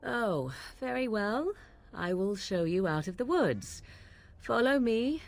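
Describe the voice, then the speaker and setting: A woman's voice: medium pitch, expressive, takes it slowly, creaky. An actress playing a haughty young witch who speaks coldly and curtly. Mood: dry. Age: young adult. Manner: cold, clipped, disdainful.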